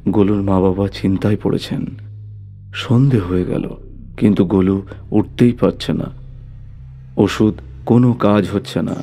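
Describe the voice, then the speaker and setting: Dark-voiced low male horror storyteller. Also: unhurried, long pauses. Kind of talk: scripted narration of a horror story.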